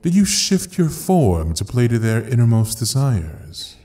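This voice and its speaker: An actor playing an ancient devil who rules hell, taunting and theatrical in an audio roleplay with a drawn-out delivery. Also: male voice, mid-range voice, sing-song, mellow. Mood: menacing interrogation. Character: ancient, mocking male devil ruling hell. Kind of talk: dramatic audio roleplay acting.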